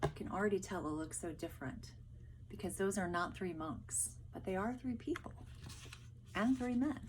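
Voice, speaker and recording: A woman's voice: mid-range voice, moderate pace, background noise. A woman teacher speaking casually to pupils, explaining and reading a storybook aloud. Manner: explanatory, addressing pupils directly.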